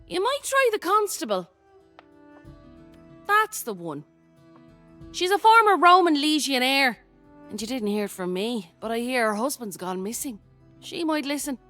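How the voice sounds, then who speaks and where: An actress playing a cheeky, roguish adventurer in a video game, breezy, wry and a little conspiratorial. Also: female voice, high-pitched, big pitch swings, a little slow, pauses often, sharp tone, faint music behind, exaggerated voice. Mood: wry, confiding. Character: cheeky, roguish female adventurer, self-interested but friendly. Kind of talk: video game character acting.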